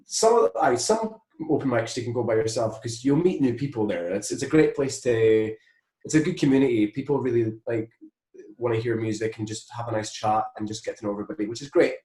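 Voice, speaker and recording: A Scottish man, a musician being interviewed, telling anecdotes casually in a rambling, animated way. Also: medium pitch, brisk, reverberant, poor audio. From Scotland.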